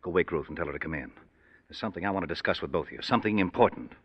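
An actor playing a smooth-talking, scheming middle-aged American family man and boss in an old-time radio drama. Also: sits low, racing. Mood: firm, brisk. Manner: persuasive, reassuring, evasive. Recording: dull, muffled vintage radio recording.

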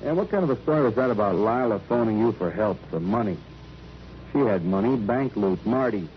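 An actor playing a wry, needling police detective with a dry, sarcastic manner in a radio crime drama. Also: male voice, fairly low pitch, lively intonation, brisk. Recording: muffled old radio recording, faint noise.

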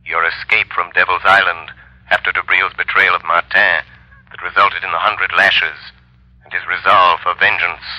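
A man playing a commanding, all-knowing avenger in a 1930s radio drama, speaking gravely and deliberately through a telephone-like filter. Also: deep voice, little pitch movement, bright, forceful tone, no echo. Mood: stern, certain.

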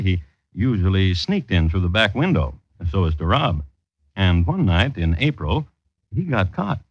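Wry, lowish-voiced man narrating radio crime tales. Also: fairly bright tone, no room sound, dull audio. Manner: deliberate, wry, dry understatement. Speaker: host and narrator. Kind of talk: scripted old-time radio crime narration. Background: music.

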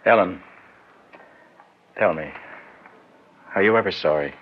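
A man's voice: low voice, slow pace. An actor playing an ardent, self-centered man wooing an old flame, insistent and earnest, in classic radio drama style. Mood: earnest, regretful. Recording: muffled, lightly noisy old radio recording.

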